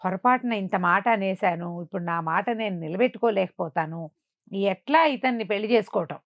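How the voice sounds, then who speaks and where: A woman's voice: medium pitch, normal speed, no echo, highs cut off, close to an everyday voice. A woman storyteller voicing a dismayed, self-doubting young forest princess in a questioning, fretful manner.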